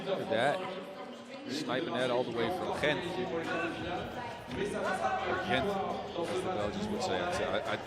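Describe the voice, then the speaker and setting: A man calls a basketball game in English. His voice sits in an ordinary middle pitch. His delivery is relaxed and chatty, and it rises when the big shots fall. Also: unhurried, long pauses, a loud crowd. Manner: conversational, excitable on big shots. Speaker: play-by-play commentator. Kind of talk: live basketball commentary.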